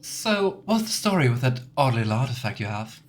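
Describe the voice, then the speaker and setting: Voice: mid-range voice, very animated, fairly quick. A let's player giving casual, musing commentary on a game and reading dialogue options aloud. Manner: musing, chatty asides.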